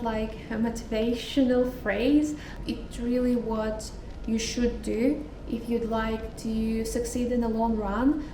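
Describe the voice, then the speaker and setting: A woman in her twenties from Kazakhstan, Russian as her first language, chatting casually in a vlog Q&A at home with thoughtful pauses and fillers. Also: on the high side. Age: 20s. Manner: thoughtful, frequent fillers and restarts. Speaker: home baker vlogger. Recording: roomy echo, mic at a distance, music behind. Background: background music.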